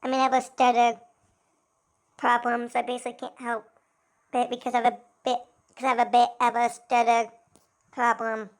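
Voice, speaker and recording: A woman who stutters, talking in a halting, hesitant way with many restarts. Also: on the high side, monotone. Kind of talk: casual talk in her own videos.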